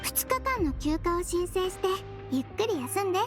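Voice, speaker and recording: A woman voicing a small, sweet head nurse in an anime game, girlish and gentle, with reassuring phrasing. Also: very high-pitched, big pitch swings, moderate pace, music in the background. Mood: calm, reassuring.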